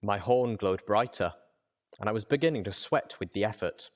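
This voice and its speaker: A man with a low voice narrates fiction in the first person. He reads from a script as an audiobook narrator, with a dramatic storytelling delivery. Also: moderate pace.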